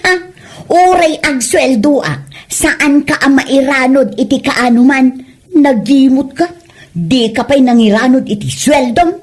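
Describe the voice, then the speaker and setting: A woman's voice: sits high, expressive, unhurried. A woman acting a quarrelsome, defensive wife with a scolding, argumentative manner in a comedy drama. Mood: indignant. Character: quarrelsome, defensive wife obsessed with making reels.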